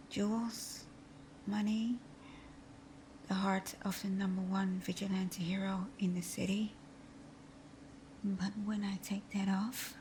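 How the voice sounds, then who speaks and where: A woman playing a supervillain thief whose teasing bravado hides a timid, wounded heart, in a breathy, often whispered, intimate ASMR roleplay style. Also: medium pitch, a little mellow, a little hiss or hum, lightly acted. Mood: vulnerable, confessional. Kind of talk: ASMR roleplay audio drama.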